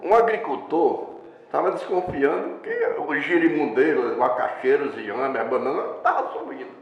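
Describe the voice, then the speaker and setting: A man's voice: on the high side, normal speed, reverberant, dull audio. An older man, a veteran reporter telling anecdotes in an interview and acting out the people he quotes. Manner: lively anecdotal storyteller, acts out quoted voices. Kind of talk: storytelling in a casual interview.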